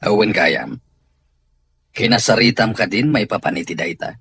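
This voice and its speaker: A man's voice: lowish voice, expressive, normal speed, a bit bright, slightly rough, dry recording, heavily acted. A man with an Ilocano Filipino accent playing a stern, worried father in an audio soap drama. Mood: concerned.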